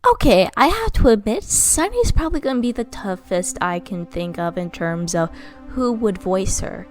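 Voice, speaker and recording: A woman's voice: medium pitch, animated, moderate pace, a little mellow. A woman giving a casual, rambling voice-over commentary as a fan narrator, stumbling over names. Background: background music.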